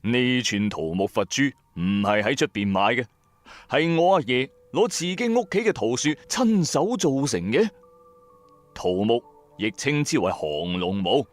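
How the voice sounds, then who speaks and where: Animated Hong Kong Cantonese male storyteller. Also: mid-range voice, unhurried, dry recording. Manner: expressive, chatty asides to the listener. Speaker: storyteller, narrator. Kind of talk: first-person dramatic audio storytelling, colloquial. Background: background music.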